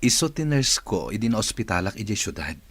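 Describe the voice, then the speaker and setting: An actor playing a stern, wealthy boss in a radio drama, with a husky voice. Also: male voice, on the low side, very animated, a little fast, a bit bright, dry recording, exaggerated voice. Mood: surprised, friendly. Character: wealthy male boss recovering from paralysis, stern.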